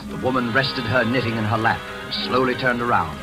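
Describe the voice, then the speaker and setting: A man announcing and narrating a 1940s radio drama with a measured, dramatic delivery. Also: medium pitch. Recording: old radio broadcast over music.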